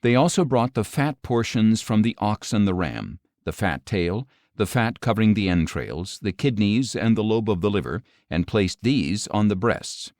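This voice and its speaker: Guy with a lowish voice narrating bible audiobook, solemn and measured, really dry close recording. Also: animated, darkish tone, no echo. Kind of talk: scripted scripture narration.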